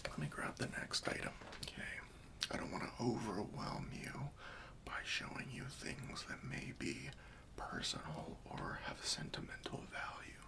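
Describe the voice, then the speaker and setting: Man acting as gentle whispering helper with creaky voice. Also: mid-range voice, wildly swooping pitch, measured pace. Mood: patiently questioning. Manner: soft, coaxing, patient, questioning, reassuring. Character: gentle, patient, friendly male helper for hoarders. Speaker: ASMR roleplayer. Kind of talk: whispered ASMR roleplay.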